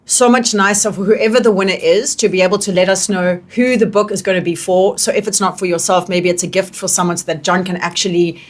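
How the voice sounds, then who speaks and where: Female radio interviewer, South African accent, informal and encouraging, medium pitch. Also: fairly even intonation, fast talker, hardly any pauses. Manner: informal, encouraging, frequent affirmations. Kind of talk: casual chat.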